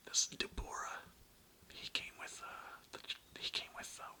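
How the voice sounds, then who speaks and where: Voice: unhurried, bright, forceful tone. A young adult ASMR creator whispering breathily in a casual, slangy, rambling way with some swearing. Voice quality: breathy, mostly unvoiced whisper. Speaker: ASMR video creator and host.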